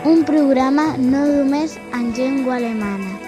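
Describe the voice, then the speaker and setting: A woman's voice: high voice, moderate pace. A woman reading a scripted radio station jingle as an announcer. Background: music.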